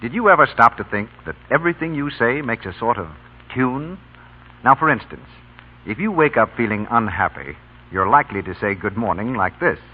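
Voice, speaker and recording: Casting: male old-time radio announcer, very animated sales delivery, tinny narrow broadcast sound. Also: medium pitch, fairly quick, husky. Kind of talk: scripted 1930s-40s radio commercial announcing.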